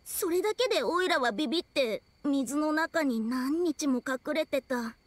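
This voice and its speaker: A woman's voice: high voice, lively intonation, normal speed, dry recording. A woman voicing a timid young kappa boy in a game, meek, hesitant and apologetic. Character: timid young male kappa, small water creature.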